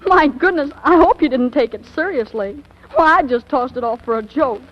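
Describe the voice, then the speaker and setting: A woman's voice: high-pitched, lively intonation, moderate pace. A young woman playing a theatrical, wounded, impulsive teenage girl in a 1940s radio drama, with breathless, melodramatic exclamations. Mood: haughty, hurt. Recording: muffled, noisy old radio broadcast recording.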